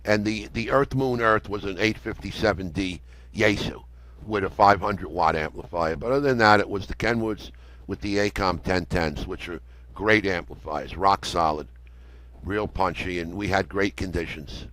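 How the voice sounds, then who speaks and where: A man's voice: sits low, moderate pace, fairly bright tone, low-quality recording. An older American man telling a story conversationally in a matter-of-fact, detailed way as an interview guest. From United States. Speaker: interview guest, amateur radio operator.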